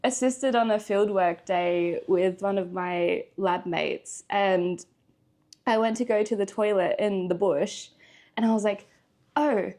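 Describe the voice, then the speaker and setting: A woman's voice: mid-range voice, slow pace, warm tone. A woman from Australia, chatting casually as a guest, with frequent ums and laughs. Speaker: guest, PhD student. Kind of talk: casual interview chat.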